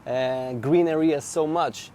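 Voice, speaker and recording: A man's voice: fairly high pitch, slow pace, a little hiss or hum. Polish man chatting on a walk. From Poland. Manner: relaxed, thoughtful, with many uhs. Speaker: guest, local resident. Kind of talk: casual conversation while walking. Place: outdoors on a residential street.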